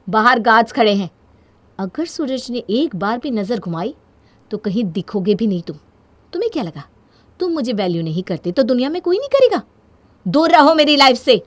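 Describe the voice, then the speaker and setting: A woman voicing a defiant young wife in a story, forceful and scornful in manner. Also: medium pitch, normal speed, no room sound, lightly acted. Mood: angry defiance. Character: defiant, fiery young wife standing her ground.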